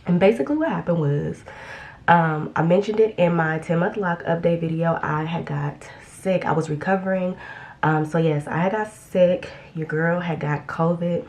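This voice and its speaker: A woman's voice: lowish voice, moderate pace, darkish tone, muffled recording. A young woman chatting casually while doing her makeup at home, a little congested after being sick, rambling with lots of ums and restarts. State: slightly congested after illness. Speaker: beauty vlogger. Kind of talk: casual get-ready-with-me chat.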